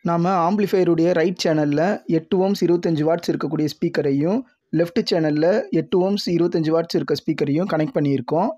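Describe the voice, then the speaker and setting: A man's voice: fairly high pitch, warm tone, dry recording. A man narrating a scripted tutorial in a methodical, explanatory manner. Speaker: tutorial presenter. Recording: dull lo-fi audio.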